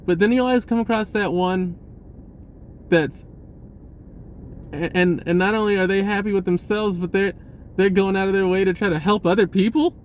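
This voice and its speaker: A man's voice: sits high, fairly even intonation, moderate pace, pauses often, slight background noise. A man talking casually about spirituality in a rambling way, repeating words and swearing offhand. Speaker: spiritual vlogger.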